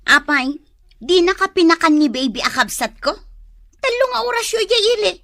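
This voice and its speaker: A nagging, sharp-tongued wife in an Ilocano radio drama. Her voice is high and bright, pushing out emphatic complaints in an Ilocano accent. The sound is dry and close. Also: expressive, moderate pace, dry recording, full character voice. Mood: suspicious, scolding.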